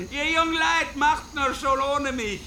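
An actor playing an overwrought colonial governor in a radio drama, theatrically hiding tears behind forced cheer. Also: male voice, very high voice, expressive, slow pace, sharp tone, heavily acted. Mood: tearful forced cheer. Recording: faint background noise.

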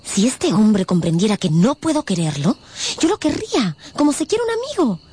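A woman's voice: mid-range voice, huge pitch swings, dark tone, slightly rough, full character voice. An actress playing a troubled, proud young woman in love in a radio drama, speaking earnestly. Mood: tender, wistful. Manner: deliberate, earnest. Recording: faint music behind the voice.

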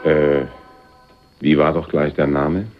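A suave rich man in a radio thriller. His voice is very deep and very slow, polite yet condescending and quietly menacing. The recording is slightly muffled. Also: little pitch movement, over loud music. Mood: suave, probing. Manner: deliberate, polite, probing. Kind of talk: 1980s German radio crime drama acting.

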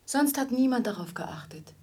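An actress playing a weary, wary young musician in a radio drama, soft-voiced, reserved and plain-spoken. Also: female voice, a little high, animated, normal speed, slightly rough. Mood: subdued, weary. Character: weary, guarded young female café musician, ill-treated. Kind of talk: German radio drama acting.

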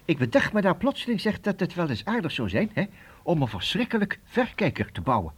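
An actor playing an excitable, absent-minded, kindly older professor who stammers and repeats himself in a children's radio play. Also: male voice, sits high, fast talker, slight background noise. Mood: eager, pondering. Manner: hesitant, stammering, repeats words.

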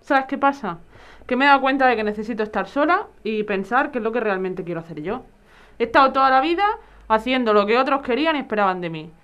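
A woman plays a resolute wife who is done with her marriage. She speaks quickly in a smooth, slightly high voice, firm and fed up, in audio drama style. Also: lively intonation, a bit bright, muffled recording.

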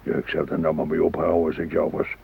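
A man playing a grumbling, pedantic old grandfather in a radio play, patiently explaining and gruffly correcting. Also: extremely low pitch, level tone, fast, full character voice. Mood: irritated, weary. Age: older. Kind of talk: radio drama dialogue. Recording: muffled old radio recording, faint noise.

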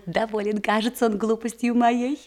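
An actress playing a naive, simple-hearted provincial maiden in a verse comedy radio play, coy, artless, giggly and full of exclamations. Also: female voice, a little high, flat delivery, moderate pace, darkish tone, close to an everyday voice.

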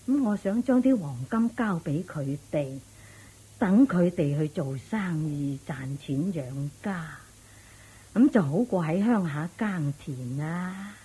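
A woman's voice: on the low side, animated, slow, warm tone, husky, heavily acted. An actress playing an anxious, practical widowed mother in a radio drama, sighing and scolding, with a Hong Kong Cantonese accent. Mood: fretful, worried. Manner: sighing, fretful, scolding at interruptions. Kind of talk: Buddhist radio drama acting.

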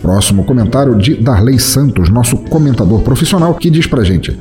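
A man's voice: medium pitch, animated, a little fast, warm tone, faint music behind. A man with a Brazilian accent hosting a podcast, reading out listener comments and replying in an informal, friendly way. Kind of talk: conversational reading of listener feedback.

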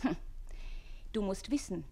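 An actress playing a witty, teasing young bride and chambermaid in a classic radio play, coquettish and knowing. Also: female voice, medium pitch, animated, unhurried, vocal fry, dark tone. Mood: mocking.

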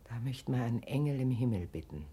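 An actress playing a grave, caring older village woman in a German radio play, husky, sorrowful and gently reproachful. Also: extremely low pitch, lively intonation, a little fast, exaggerated voice. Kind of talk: German radio play, solemn naturalistic acting.

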